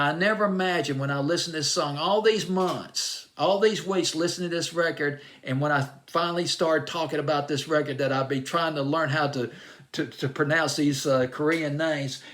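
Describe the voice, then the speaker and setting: A man's voice: fairly high pitch, a bit bright. A man with a Southern US accent hosting a music countdown show, talking about a song in a rambling, enthusiastic way. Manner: rambling and enthusiastic, with restarts and drawn-out phrases. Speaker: radio music show host. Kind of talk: chatty countdown commentary.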